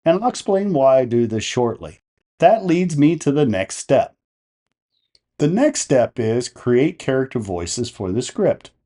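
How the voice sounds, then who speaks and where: Mellow, animated male tutorial host. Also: mid-range voice, measured pace. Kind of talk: step-by-step instructional narration.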